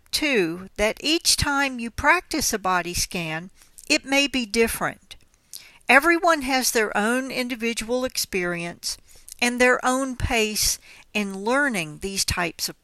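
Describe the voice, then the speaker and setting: A woman guiding a mindfulness meditation with gentle, unhurried, measured phrasing. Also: fairly high pitch, dry recording. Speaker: meditation guide. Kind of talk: guided mindfulness body scan instruction.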